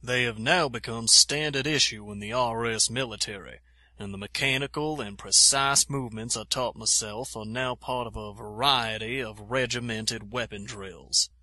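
A man as a bright, proud army officer. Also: lowish voice, no room sound, full character voice. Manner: formal, measured, old-fashioned wording. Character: proud inventive army officer recounting his weapon-making. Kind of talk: dramatized audio journal narration.

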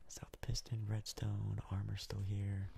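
A man giving hushed, casual ASMR game commentary in a breathy, gravelly whisper, close to the mic. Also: fairly low pitch, completely flat delivery, noisy background. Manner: hushed, casual, unhurried rambling. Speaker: gaming video host.

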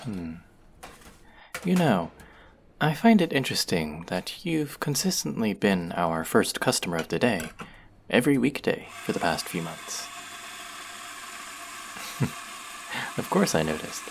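Casting: male voice actor as a playful young barista, low gravelly voice, lively teasing delivery. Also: unhurried, long pauses, slight background noise, exaggerated voice. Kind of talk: audio roleplay for a listener.

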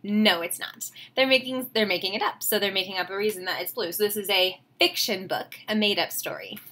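A woman, reading a picture book aloud to children with expressive, enthusiastic, chatty asides. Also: mid-range voice, fairly quick. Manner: expressive, enthusiastic, questioning the listeners. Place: small home room, indoors.

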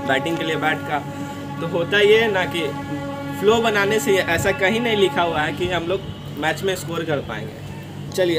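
A young man, giving instructions to camera as host of a coaching video, recorded outdoors on a rooftop. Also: a little high. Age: young adult. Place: outdoor rooftop terrace among brick buildings. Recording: clearly noisy outdoor ambience, traffic hum.

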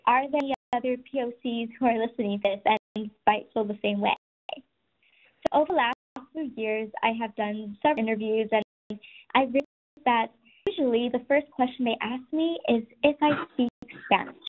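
A soft-spoken woman in her twenties giving a scripted presentation, telling stories and speaking directly to listeners. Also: on the high side, normal speed. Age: 20s. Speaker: webinar presenter. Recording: tinny phone-like audio.

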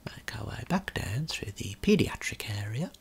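A man giving a gentle, unhurried ASMR tour of a video game in a breathy, whispered, soft-spoken voice. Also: medium pitch, very animated. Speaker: ASMR creator and tour guide.

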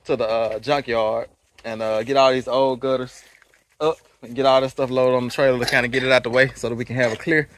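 A man's voice: medium pitch, quick pace, a little hiss or hum. Laid-back man vlogging outdoors. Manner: relaxed, rambling, with fillers. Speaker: vlog host. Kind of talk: casual vlog narration. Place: outdoors in a rural yard. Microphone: phone camera at arm's length.

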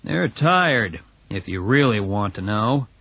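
A man's voice: fairly low pitch, big pitch swings, slow, vocal fry, slightly rough, no room sound, dull audio, full character voice. A man voicing a seasoned, dry-spoken onlooker giving advice in a read-aloud story. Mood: matter-of-fact. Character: wry, seasoned northern onlooker men.

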